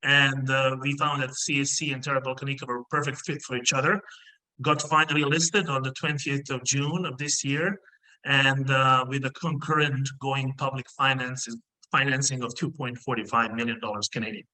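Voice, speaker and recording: A middle-aged man originally from Bosnia talks over a video call from an indoor room, explaining his company as a guest. His tone is bright and clear-edged, and he moves forward in careful steps broken by frequent filler pauses. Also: mid-range voice, little pitch movement, poor audio. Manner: measured, many filler pauses. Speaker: mining company CEO, guest.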